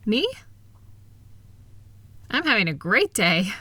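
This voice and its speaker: A woman playing a kind, capable wife who gently teases and reassures a partner in an intimate comfort audio roleplay. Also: mid-range voice, very animated, slow, breathy edge, no room sound, exaggerated voice. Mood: soothing, reassuring. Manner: reassuring, gentle, teasing, affectionate. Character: kind, upbeat, capable wife soothing her insecure partner.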